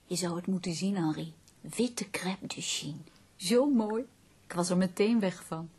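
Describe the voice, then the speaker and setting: An actress playing an affectionate, coaxing wife in a radio drama, with a breathy voice and a soft, intimate delivery. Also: female voice, fairly low pitch, measured pace. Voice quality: breathy, husky. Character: coaxing, affectionate wife who loves fine clothes.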